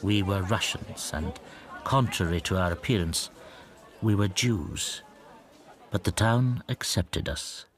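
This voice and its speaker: A man's voice: deep voice, slow, some chatter behind. A male actor playing an anxious, timid shopkeeper in a radio drama, speaking in a hesitant, confiding and introspective way. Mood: reflective. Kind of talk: radio drama monologue and dialogue.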